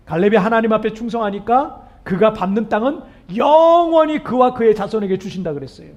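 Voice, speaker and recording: A male pastor preaching a sermon in an earnest, emphatic way, often putting questions to the congregation. His voice is weakened and hoarse and cracks on high notes.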